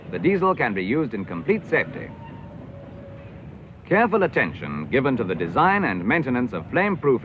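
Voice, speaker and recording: A British man reading formal, measured, declamatory narration in the style of a 1950s educational film. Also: mid-range voice, slight background noise. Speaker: documentary narrator. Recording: dull vintage film soundtrack with music. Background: clear background music.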